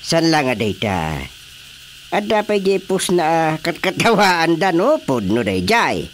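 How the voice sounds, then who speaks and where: A woman playing an opinionated grumbler in a radio drama, with a Filipino accent and an emphatic, drawn-out delivery. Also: fairly low pitch, big pitch swings, a little hiss or hum. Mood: mocking. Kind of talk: Ilocano radio drama dialogue.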